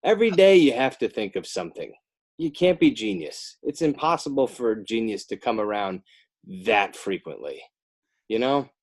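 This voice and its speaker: A man's voice: medium pitch, big pitch swings, normal speed. An American man talking casually as an interview guest, rambling and joking in a self-deprecating way. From northern New Jersey, United States. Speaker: podcast guest, author and illustrator. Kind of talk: casual conversational interview chat.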